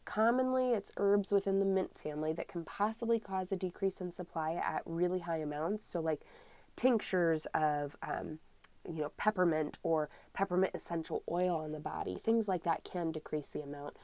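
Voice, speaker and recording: A woman's voice: fairly low pitch, normal speed, darkish tone, dry recording. A young woman with a cold, slightly scratchy and congested, chatting casually as an herbalist podcast host with lots of ums. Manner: chatty, many ums, friendly explaining. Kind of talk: casual solo podcast talk.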